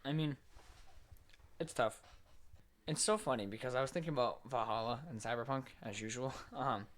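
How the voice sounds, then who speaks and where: A man's voice: mid-range voice, moderate pace, fairly bright tone. A man co-hosting a podcast in casual, slangy conversation, thinking aloud as he goes. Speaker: podcast co-host. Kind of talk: casual chat about video games.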